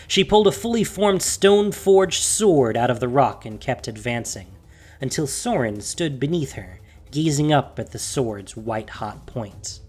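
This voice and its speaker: A narrator reading a scripted fantasy story in a measured, dramatic way with emphatic phrasing. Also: medium pitch, expressive, darkish tone, husky. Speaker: audiobook narrator.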